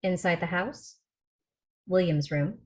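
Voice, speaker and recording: A woman's voice: on the low side, slow. A woman reading stage directions as the narrator at a film script table read. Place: remote video call rehearsal.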